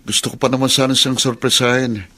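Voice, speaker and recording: A man's voice: medium pitch, level tone, fast talker, pushed and bright. A courteous young visitor in a radio drama, respectful and cheerful, with a plain mid-range voice. He speaks politely to an older relative. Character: polite young man visiting his uncle. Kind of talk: Tagalog radio drama acting.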